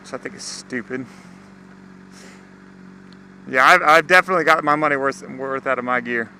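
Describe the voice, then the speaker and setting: A man's voice: medium pitch, moderate pace. A young American man chatting casually in a rambling, slangy, laid-back way, as a motorcycle vlogger out riding. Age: young adult. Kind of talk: casual rambling vlog chat. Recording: engine, road and traffic noise. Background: engine and vehicle noise.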